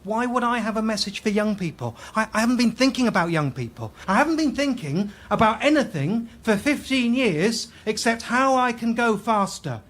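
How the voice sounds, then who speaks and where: Blunt, high-pitched sporting champion. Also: male voice, expressive, moderate pace, hardly any pauses, a bit bright. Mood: matter-of-fact. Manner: blunt, pedantic, literal. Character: blunt, self-absorbed champion sprint tricyclist, literal-minded man. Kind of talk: radio sketch comedy acting.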